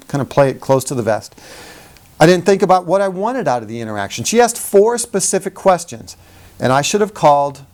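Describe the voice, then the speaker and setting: Male, middle-aged, medium pitch. Coach-style presenter, conversational and emphatic, tells anecdotes at his own expense. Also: darkish tone. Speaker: sales communication coach hosting a how-to video. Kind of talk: conversational how-to talk with personal anecdotes.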